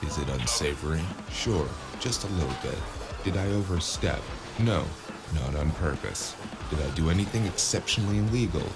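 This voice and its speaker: Man voicing a very deep, weary noir narrator. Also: expressive, normal speed. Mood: fed up. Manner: sardonic, world-weary, foul-mouthed. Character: wry, weary, mouthy cyberpunk noir male drifter narrating. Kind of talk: first-person noir audio drama narration. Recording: music behind the voice.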